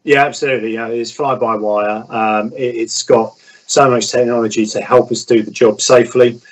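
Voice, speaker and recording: A man's voice: medium pitch, steady tone, normal speed, rough recording. A man talking informally as an interview guest, explaining his field. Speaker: airline pilot, interview guest.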